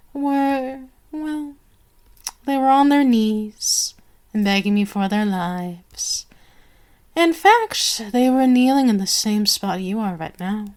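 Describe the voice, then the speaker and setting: A woman voicing a sadistic, possessive vampire queen in an ASMR roleplay, with a haughty, teasing, drawn-out delivery. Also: fairly high pitch, darkish tone. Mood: gloating.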